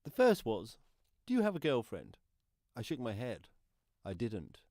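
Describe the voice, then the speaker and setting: Radio play monologue: male actor as earnest deaf man, plain-spoken storyteller, dark soft tone, very lively melody. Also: medium pitch, measured pace, no room sound, clean studio sound. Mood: earnest, hurt. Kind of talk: first-person radio drama monologue.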